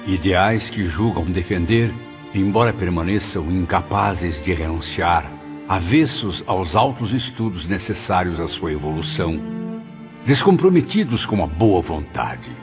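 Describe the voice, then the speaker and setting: A man's voice: low-pitched, animated, a little slow. A man playing a solemn, reflective narrator in a dramatised audiobook, with grave, literary phrasing. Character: solemn, reflective male narrator of a spiritual novel. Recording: dull lo-fi audio under music.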